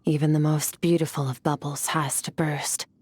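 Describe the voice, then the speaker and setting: An actress playing a cool, ageless woman warrior, calm, detached and philosophical. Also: moderate pace. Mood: contemplative. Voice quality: husky, low and composed. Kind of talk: video game voice acting.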